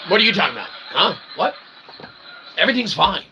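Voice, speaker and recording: Middle-aged man, gravelly, mock-formal rambler. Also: high voice, very animated, fairly quick, background noise. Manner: mock-formal, wordy, with repeated "okay" and "right" tags. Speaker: host of an online show. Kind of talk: rambling comedic monologue to viewers.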